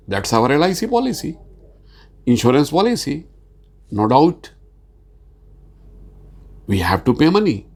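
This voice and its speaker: A man from Bihar, India, with a Hindi-accented Indian English, giving an insurance training presentation, hesitant with many fillers and rising to stress key points. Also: high-pitched. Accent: Indian English, Hindi-speaking. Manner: slow, hesitant delivery with frequent uh fillers.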